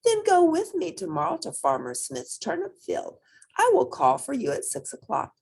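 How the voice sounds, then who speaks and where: A woman voicing a hungry, scheming big bad wolf in a storybook, coaxing and drawn-out. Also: on the high side, wildly swooping pitch, a little mellow, slightly rough, voice pushed up, heavily acted. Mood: sly, coaxing.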